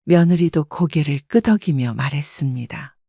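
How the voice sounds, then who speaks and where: A woman calmly narrating a family story in the first person as a scripted audiobook. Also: low voice, moderate pace, gravelly, no echo, studio quality. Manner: calm, even storytelling.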